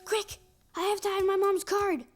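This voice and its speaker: Friendly small cartoon boy, extremely high-pitched, eager and casual. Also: little pitch movement, moderate pace, over music. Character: friendly, busy little boy, Caillou's friend. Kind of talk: children's cartoon voice acting.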